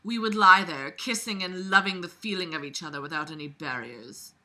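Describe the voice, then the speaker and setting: Woman reading as an overdramatic, bright-voiced lovestruck teenage boy. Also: fairly low pitch, moderate pace. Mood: earnest, melodramatic. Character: teenage boy narrator, earnest and lovestruck, melodramatic.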